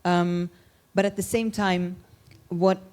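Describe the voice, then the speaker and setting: Measured woman on a discussion panel. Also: on the low side, flat delivery, a little mellow. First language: not Finnish. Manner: measured, searching for words. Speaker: panel candidate.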